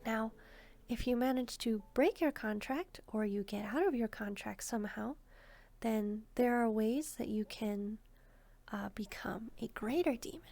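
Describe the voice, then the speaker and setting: A woman's voice: a little high, unhurried, creaky, dark tone. A woman voicing a sweet, curious young demon maid, chatting politely and a little hesitantly to the listener in a roleplay. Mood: earnest, explaining.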